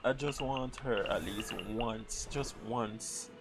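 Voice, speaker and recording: A man's voice: medium pitch, slow, over music. A young man giving casual livestream game commentary, rambling and slangy as he thinks aloud. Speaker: game streamer. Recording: noisy livestream mic.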